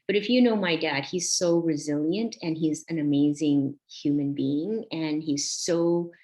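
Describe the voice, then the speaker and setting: A woman in her forties, born in Vietnam, speaks in a low voice and takes her time. She tells a personal story to a conference audience and pauses often with soft ums. Her delivery stays slow and thoughtful. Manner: slow, reflective, with frequent ums.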